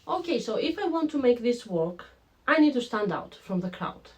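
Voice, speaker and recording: Vlogger, woman, romanian-greek accent with a bit of british, chatty, talks right to viewers, slightly high voice. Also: moderate pace. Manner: chatty and animated, addresses viewers directly. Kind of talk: casual talking-to-camera vlog. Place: home room with a lamp.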